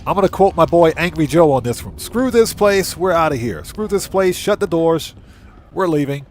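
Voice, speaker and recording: A man with a somewhat high voice hosts a casual gameplay livestream. His intonation swings widely as he comments on play, speaking in exclamations and repeating short phrases for emphasis. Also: lively intonation, moderate pace, darkish tone, a little hiss or hum. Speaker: livestream host.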